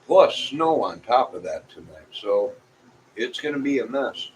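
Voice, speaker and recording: A man's voice: mid-range voice, very animated, a little slow, poor audio. A middle-aged or older man chatting casually to viewers in a folksy, rambling way, often repeating himself. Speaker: vlogger. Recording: clear background noise.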